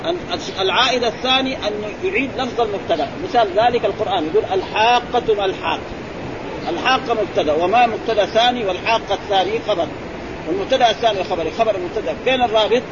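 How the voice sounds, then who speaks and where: A man, a sheikh teaching grammar who keeps prompting his students with quick questions. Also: high voice. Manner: quizzing, prompting with repeated "eh?" and "ha?". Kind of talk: classroom explanation with questions to students. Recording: muffled recording with crowd and traffic noise.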